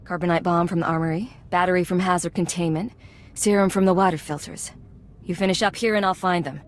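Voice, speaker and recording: A woman's voice: lowish voice, fairly even intonation, fast talker, a little hiss or hum, natural voice. An actress playing a cool female secret agent in charge of a gang in a video game, terse and authoritative. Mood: businesslike.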